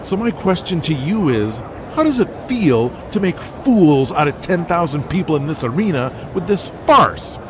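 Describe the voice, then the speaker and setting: A man playing a pushy TV news reporter in an audio drama. The voice is raised in pitch, insistent and accusatory, like a grandstanding exposé. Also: very animated, moderate pace, few pauses, background noise. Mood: accusing, heated.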